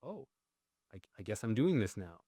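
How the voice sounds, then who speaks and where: A man's voice: on the low side, sing-song, normal speed, mellow, breathy edge, no room sound, studio quality. A man from Canada, a writer and journalist being interviewed, answers thoughtfully with frequent "like"s and restarts. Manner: thoughtful, with many filler "like"s and restarts.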